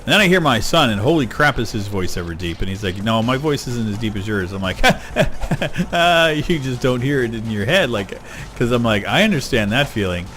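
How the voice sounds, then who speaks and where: A middle-aged man rambling casually while playing a video game, getting excited over loot. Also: mid-range voice, moderate pace, a little hiss or hum. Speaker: gaming YouTuber. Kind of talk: casual let's-play commentary. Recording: game music behind voice. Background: game music.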